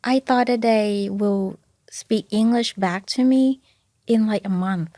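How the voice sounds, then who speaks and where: A woman's voice: medium pitch, takes it slowly, mellow, no echo, studio quality. A woman from Thailand with a Thai accent, speaking English as a second language, candidly chatting as an interview guest about raising her two kids. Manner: candid, restarts sentences while recalling. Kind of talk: casual interview chat.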